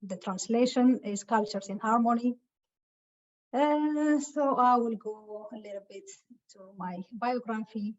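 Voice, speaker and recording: A woman from Ethiopia giving a webinar talk over a video call, speaking haltingly with many filler sounds. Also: a little high, a little mellow. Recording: muffled lo-fi call audio.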